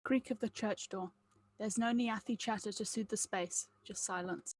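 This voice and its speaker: A woman's voice: medium pitch, moderate pace. A woman reading scripted stage directions aloud at a table read.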